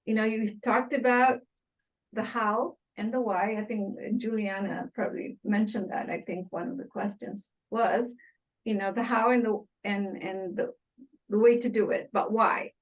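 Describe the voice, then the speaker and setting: A woman's voice: medium pitch, fairly even intonation, unhurried, muffled recording. Conversational woman moderating a webinar. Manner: organized, conversational.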